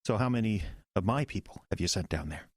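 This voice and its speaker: An actor playing an earnest small-town pastor in an audio drama, halting, agitated and incredulous. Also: male voice, on the low side, animated, no room sound, clean studio sound. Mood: accusing, upset.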